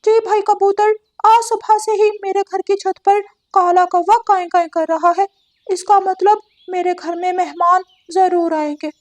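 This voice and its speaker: A woman voicing an excitable, gullible male parrot husband in a cartoon, eager and repeating himself. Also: extremely high pitch, unhurried. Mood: excited. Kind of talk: Hindi cartoon story dialogue.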